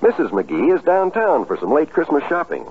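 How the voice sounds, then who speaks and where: A man's voice: a little high, huge pitch swings, normal speed, over loud music. A man announcing in a formal, earnest, oratorical 1950s radio style from a script. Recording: tinny, phone-like old radio recording.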